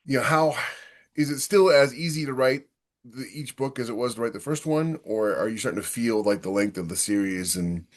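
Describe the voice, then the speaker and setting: A man's voice: medium pitch, brisk. A man hosting a podcast, asking questions casually and working them out as he speaks. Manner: informal, thinks questions out aloud. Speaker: podcast host, interviewer.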